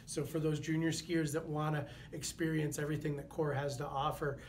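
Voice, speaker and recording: A man in his thirties, a product manager, presenting gear to the camera in an indoor showroom, steady and informative with a few fillers. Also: fairly high pitch, brisk, a little hiss or hum. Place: indoor showroom with wooden walls.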